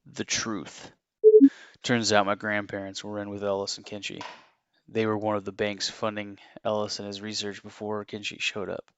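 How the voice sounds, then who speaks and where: A man's voice: lowish voice, completely flat delivery, moderate pace, a bit bright, clear, resonant tone. A man playing an earnest, haunted former assassin confessing his story in an audio drama. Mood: grave, regretful.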